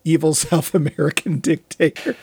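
Wry man, slightly high-pitched, dry close sound. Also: fairly even intonation, normal speed, vocal fry, a little mellow, husky, no room sound. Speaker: podcast co-host. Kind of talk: casual film and TV chat.

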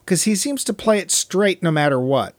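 A man's voice: high-pitched, animated, normal speed, no room sound, studio quality. A middle-aged man chatting casually about film and TV as a co-host, with a wry, joking manner. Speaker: podcast co-host.

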